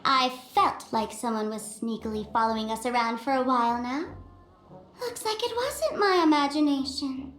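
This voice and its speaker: A sly young female trickster from an anime-style game. Her voice is high and animated, coy and theatrical, with a roomy sound and soft music underneath. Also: moderate pace. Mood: sly, amused.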